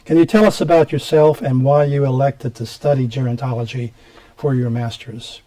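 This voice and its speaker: Male host for a studio interview; mellow tone, loose and meandering questions. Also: mid-range voice, fairly even intonation, moderate pace. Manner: rambling questions, frequent mm-hmms. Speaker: TV show host.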